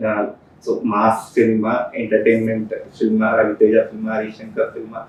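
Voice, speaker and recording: A man's voice: on the low side, completely flat delivery, fairly quick. Distant, echoey male interviewer. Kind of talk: conversational interview questioning. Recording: distant echoey mic, faint noise.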